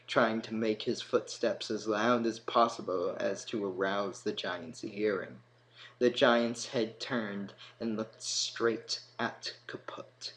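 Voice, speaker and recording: A man narrates a storybook tale in a lowish voice at a very unhurried pace. The recording carries audible background noise. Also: poor audio.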